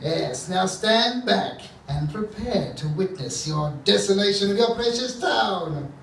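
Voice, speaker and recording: A man's voice: high voice, expressive, measured pace, gravelly, fairly bright tone, heavily acted. A man acting a blustering, petulant mad scientist villain in a comic stage radio serial, with theatrical exasperated asides. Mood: menacing bluster. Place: theatre stage before a live audience. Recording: echoey theatre stage from far off, faint noise.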